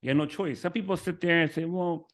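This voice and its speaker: A man's voice: on the high side, fast talker, a bit bright. A man hosting a podcast, interviewing a guest in a friendly, casual, joking way. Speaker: podcast host.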